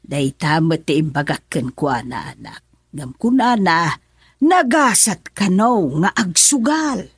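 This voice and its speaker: Give me a woman playing a husky, worried mother. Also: on the low side, big pitch swings, slow pace, dry recording, studio quality, heavily acted. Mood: explaining. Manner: anxious and pleading. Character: worried mother caring for her ill daughter. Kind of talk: radio drama acting.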